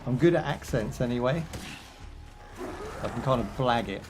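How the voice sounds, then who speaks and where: A man's voice: medium pitch, moderate pace, music in the background. A young British man chatting casually while he plays a game live, rambling through jokes, asides and fillers. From United Kingdom. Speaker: gaming streamer. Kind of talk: casual live gameplay commentary.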